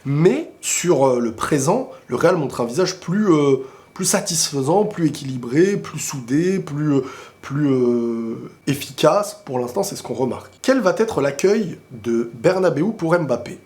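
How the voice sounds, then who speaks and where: A man with a cold and a sore throat, talking casually and excitably about football to his viewers, often rambling into quick asides. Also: fairly high pitch. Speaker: football video creator answering viewer questions. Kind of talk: casual opinionated football talk to camera.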